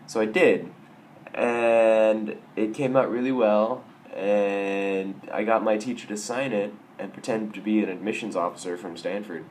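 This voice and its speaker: Hoarse young man rambling wryly. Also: fairly low pitch, steady tone, a little slow, darkish tone, slight background noise. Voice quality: raspy. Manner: self-mocking, wry, rambling with restarts. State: hoarse from a sore throat. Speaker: vlogger. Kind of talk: casual vlog storytelling.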